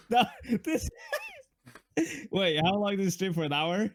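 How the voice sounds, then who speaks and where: A male streamer bantering casually, playful and excitable, with some swearing. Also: sits high, slow.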